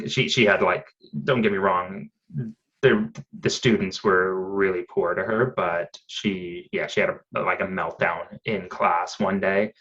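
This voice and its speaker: Man, deep voice, rambling casual chat, lots of ums, muffled lo-fi sound. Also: fairly even intonation, unhurried, fairly bright tone. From Canada. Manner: chatty, rambling, many fillers and restarts. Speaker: podcast guest. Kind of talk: casual conversation.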